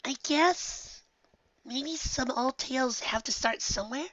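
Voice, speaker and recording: Storybook unicorn student, male reader: young, female, bookish, excitable, high and bright. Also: a little slow, no echo, highs cut off, full character voice. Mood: curious, eager. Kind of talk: fan fiction audiobook character voice.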